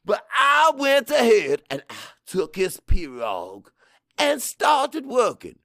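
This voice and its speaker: Loud, high-pitched old Cajun man. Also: wildly swooping pitch, slow, no echo, studio quality, full character voice. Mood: insistent storytelling. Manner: loud, emphatic, folksy, mixes French into English. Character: fiery old Cajun man, storytelling elder, combative.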